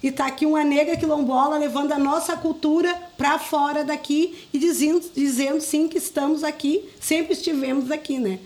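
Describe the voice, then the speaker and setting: A woman with a high voice and a southern Brazilian accent from Rio Grande do Sul talks in a radio interview. She explains things earnestly in a conversational way, often checking in with short tag questions. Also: steady tone, moderate pace, few pauses, darkish tone. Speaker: interview guest, community group coordinator.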